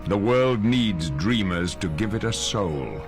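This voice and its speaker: A man's voice: fairly low pitch, lively intonation, slow pace, music in the background. A man playing an idealistic, dignified mentor in a video game cutscene, earnest and stately. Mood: hopeful.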